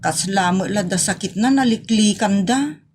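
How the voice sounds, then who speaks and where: A woman's voice: mid-range voice, moderate pace, a little mellow, a little hiss or hum, lightly acted. A woman with a northern Philippine accent acting a daughter who earnestly reproaches and pleads with a parent in a comedy audio drama. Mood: complaining. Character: grown daughter earnestly reproaching her mother.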